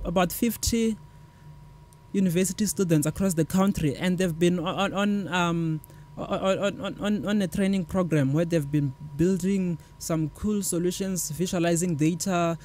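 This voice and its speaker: A man's voice: sits high, fairly even intonation, normal speed, a little mellow. A man co-hosting a tech show, talking casually with many restarts and fillers. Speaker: co-host.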